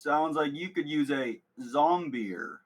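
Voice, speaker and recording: A man playing a cheerful commercial pitchman, delivering salesman-like slogans for a spoof advert at a table read. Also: takes it slowly. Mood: smooth salesmanship. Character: cheerful male commercial pitchman selling burgers and beer.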